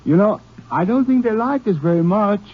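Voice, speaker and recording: A wry sidekick lawman. His husky, higher-pitched voice bounces around eagerly, on a dull old radio western recording. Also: lively intonation, normal speed. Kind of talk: 1950s radio western acting.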